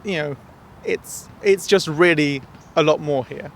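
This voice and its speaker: Gravelly man, easygoing. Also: a little high, a little slow, a little hiss or hum. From England. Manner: easygoing, repeats words as he thinks. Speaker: interviewee. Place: outdoors on a city street.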